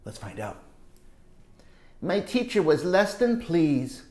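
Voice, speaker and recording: A woman's voice: on the low side, very animated, slow pace, dark tone. A woman reading a picture book aloud to children as a storytime teacher, playful and dramatic, with chatty asides. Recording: roomy echo.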